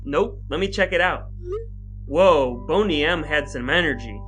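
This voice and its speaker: Male American podcast host, slightly high voice, wry and mock-formal, music underneath. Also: lively intonation, unhurried, a bit bright. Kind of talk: scripted dramatic reading and podcast chat.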